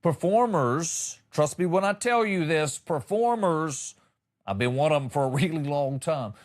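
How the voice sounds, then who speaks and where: A man's voice: on the high side, expressive, unhurried. A middle-aged man hosting a comedy podcast, telling stories in a folksy, colloquial and punchy way with comic exasperation. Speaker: comedy podcast host and former radio entertainer. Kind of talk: comedic storytelling monologue.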